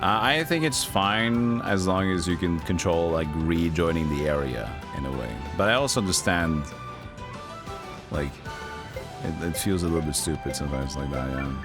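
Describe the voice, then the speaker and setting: A man's voice: low voice, lively intonation, normal speed, music in the background. A man giving casual live commentary as a game streamer, musing in a rambling way.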